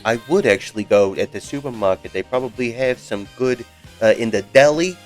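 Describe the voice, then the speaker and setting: A man's voice: medium pitch, a little fast, soft background music, lightly acted. A man playing a brash, swaggering gang boss. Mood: conspiratorial. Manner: swaggering, bossy, wheeler-dealer talk. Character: brash middle-aged male mob-style gang boss. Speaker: game master.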